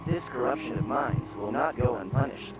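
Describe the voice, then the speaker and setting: A formal American announcer, acted by a man, for a TV legal commercial. His voice sits at a medium pitch, with measured, scripted delivery. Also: over loud music, natural voice, rough recording. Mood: formal, serious.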